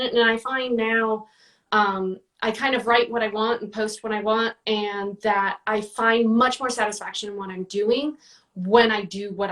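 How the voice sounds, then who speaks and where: A woman's voice: medium pitch, fairly bright tone, recorded from a distance, low-quality recording. A woman answering interview questions in a casual, chatty way, with many fillers and restarts. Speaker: guest, book reviewer.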